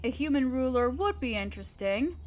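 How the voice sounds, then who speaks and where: Woman playing a high-voiced motherly spider queen. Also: animated, a little fast. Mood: warm, reassuring. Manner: tender, patient, softly explaining. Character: gentle motherly spider queen, loving and thoughtful, adoptive mother. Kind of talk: scripted audio roleplay, addressing the listener.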